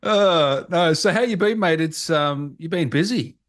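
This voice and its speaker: A man's voice: on the high side, unhurried. A man with an Australian accent hosting an interview in an informal, matey, joking way. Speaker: interview host. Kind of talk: casual chat.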